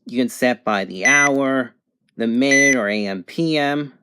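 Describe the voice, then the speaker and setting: A person giving a casual product review and demonstration in a halting, hesitant way. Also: medium pitch. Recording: clear background noise, amateur home recording.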